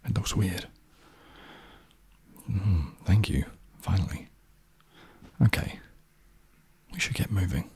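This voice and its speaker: A man in his thirties playing a smug, seductive inner alter ego in an ASMR short film, in a breathy whisper with a slow, intimate, slyly cocky manner. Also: low-pitched, dark tone, full character voice. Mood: teasing, commanding. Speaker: ASMR performer.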